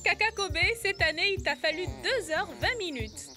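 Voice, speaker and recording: A woman's voice: very high-pitched, expressive, moderate pace, sharp tone, soft background music, heavily acted. A woman voicing a kind, helpful young girl in an educational children's cartoon, explaining things encouragingly.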